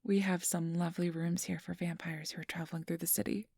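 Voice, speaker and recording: A woman playing a kindly, apologetic registration clerk in an ASMR roleplay, speaking softly and often in a whisper with a gentle, reassuring manner. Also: on the low side, little pitch movement, fast talker, no echo, clean studio sound. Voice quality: soft, breathy, often whispered. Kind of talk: ASMR roleplay, soft whispery speech to the listener.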